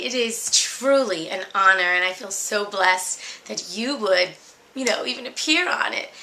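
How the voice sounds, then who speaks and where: A woman's voice: medium pitch, sharp tone, slight background noise. A woman hosting a comedic mock interview, speaking politely and somewhat formally, with effusive courtesy. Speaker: show host, interviewer. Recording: roomy, echoey sound.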